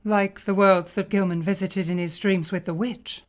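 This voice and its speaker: A woman's voice: mid-range voice, fairly even intonation, moderate pace, close to an everyday voice. A woman playing a composed, knowing investigator of the uncanny in a radio play, matter-of-fact and formal. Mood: grave, composed. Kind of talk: radio play acting, storytelling.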